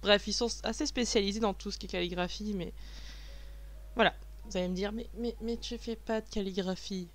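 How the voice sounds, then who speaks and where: A woman's voice: medium pitch, steady tone, brisk. A woman and mother of a young child, talking over her unboxing video afterwards in a chatty, self-mocking way, with many fillers and restarts. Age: adult, a mother of a young child. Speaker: vlogger reviewing a package. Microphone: voice-over recorded afterwards, not while unboxing.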